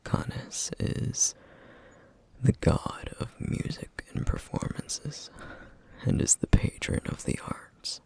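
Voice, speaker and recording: A man playing a gentle, duty-bound flame demon in an ASMR roleplay, speaking softly in slow, formal, wistful phrases with a rough, breathy voice. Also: dry recording, natural voice. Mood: wistful, reverent. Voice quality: breathy, whispery, rough, gravelly. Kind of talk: ASMR roleplay, intimate soft-spoken monologue.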